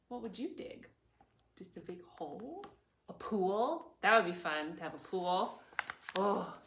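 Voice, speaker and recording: Lady doing kids storytime, really animated up and down voice, asks little questions, bit echoey. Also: on the low side. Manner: expressive, asks the young listeners questions. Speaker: librarian hosting a preschool storytime. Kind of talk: children's read-aloud with chatty explanations. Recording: echoey room with background noise.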